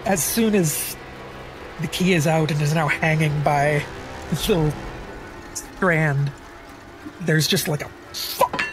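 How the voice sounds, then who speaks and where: A man with a fairly high voice plays a tabletop roleplaying game. He improvises his character's actions casually and hesitantly, as if working them out aloud, with clear music underneath. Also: slow pace, long pauses, poor audio.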